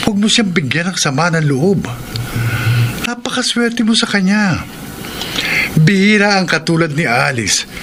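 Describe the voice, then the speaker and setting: An actor playing an earnest friend with a Filipino accent who admonishes and advises in a radio drama. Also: male voice, on the high side. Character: earnest male friend giving firm, cautionary advice. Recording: noisy radio drama recording.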